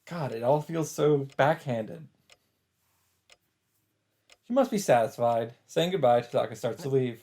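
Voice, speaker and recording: A man's voice: medium pitch, animated, measured pace, long pauses, warm tone. A man reading visual novel text aloud as casual narration, dropping in his own asides.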